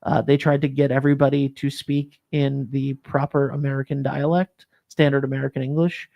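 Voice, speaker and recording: Rambling talk-show host, easygoing. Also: male voice, medium pitch, little pitch movement, fairly quick, gravelly, dull audio. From Rhode Island. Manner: rambling, many fillers and restarts. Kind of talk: casual chat.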